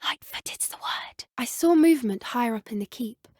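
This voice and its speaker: Game role: a polite, beast-loving British schoolgirl witch, earnest and high-pitched, recorded close and dry. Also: lively intonation, moderate pace, darkish tone, slightly rough, no room sound. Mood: hushed, uneasy. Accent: British English. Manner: polite, well-spoken, earnest. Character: earnest, gentle schoolgirl witch who loves magical beasts. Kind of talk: scripted video game voice acting.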